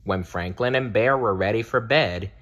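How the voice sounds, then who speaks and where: A man narrating a children's storybook aloud in a deliberate, expressive read-aloud style. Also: fairly low pitch, dull audio. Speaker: storybook reader.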